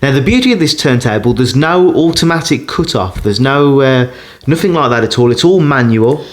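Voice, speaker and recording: A man giving a casual hands-on demonstration as a record collector, pausing and hesitating as he talks. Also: mid-range voice, lively intonation. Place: indoors beside a turntable.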